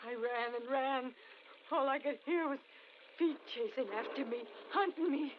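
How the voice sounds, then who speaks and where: A frantic woman stranded in a storm, in 1950s radio drama style. Her voice is very high, breathless and pleading. The old recording is muffled, with clear noise over it. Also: a little slow. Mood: exhausted, shaken.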